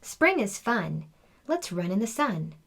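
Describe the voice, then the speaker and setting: A woman's voice: medium pitch, big pitch swings, takes it slowly, a bit bright, breathy edge, exaggerated voice. Woman acting out a little schoolgirl, confident, bossy, assured, storybook character. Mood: proud, reciting.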